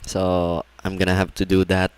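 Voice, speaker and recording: A man's voice: sits low, monotone, normal speed, smooth, no echo. A man doing casual live game commentary as a streamer, musing and hesitant as he thinks aloud. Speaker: livestreamer, gamer. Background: game music.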